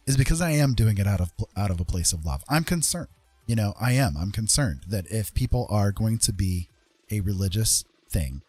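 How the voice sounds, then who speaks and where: A man with a lowish voice speaks as a livestream host in a casual but earnest monologue. He leans on repetition to drive his words home. The sound is dry and close, with faint music behind him. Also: darkish tone, slightly rough, no echo. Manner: earnest, emphatic, repeats phrases for stress.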